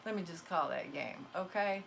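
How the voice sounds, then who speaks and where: A woman's voice: on the low side, lively intonation, normal speed, creaky, a bit bright, slight background noise. A woman who is losing her voice, giving a casual, emphatic spiritual pep talk as a card reader, folksy and preachy in tone. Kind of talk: casual motivational talk to viewers.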